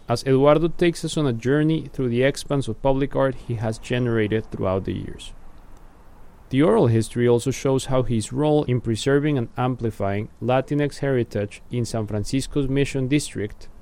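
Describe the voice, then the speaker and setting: A man hosting an oral history, reading a formal introduction and then asking questions in a measured way. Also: medium pitch, gravelly, a little mellow. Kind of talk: read introduction, then interview.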